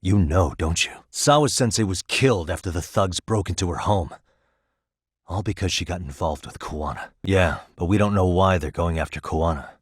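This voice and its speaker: An American actor playing a sharp, determined male private detective in a video game, measured and probing, with dry, pointed questions. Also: sits low, breathy edge, no room sound. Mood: grave, pressing. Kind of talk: video game voice acting, dramatic dialogue.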